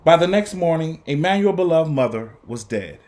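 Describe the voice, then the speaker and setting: Man, higher-pitched voice, very animated intonation, earnest storybook reader, emphatic phrasing. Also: normal speed. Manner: earnest, encouraging. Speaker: guest reader for students. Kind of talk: expressive children's storybook read-aloud.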